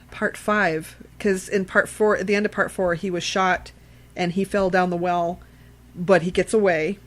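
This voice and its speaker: A woman's voice: medium pitch, fairly bright tone. A woman co-hosting a casual horror film discussion, speaking emphatically, repeating herself for emphasis and swearing freely. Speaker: podcast co-host.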